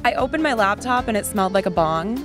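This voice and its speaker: A woman's voice: medium pitch, animated, fast, over music. A woman interviewed on the street, recounting a story matter-of-factly. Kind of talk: casual anecdote.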